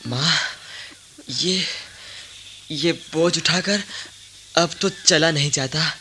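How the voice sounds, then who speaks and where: A man's voice: on the high side, very slow, pushed and bright, slight background noise, exaggerated voice. A man playing an exhausted young village boy in a Hindi radio play, weary and plaintive as he talks. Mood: weary, complaining. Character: exhausted young village boy carrying heavy wood bundles.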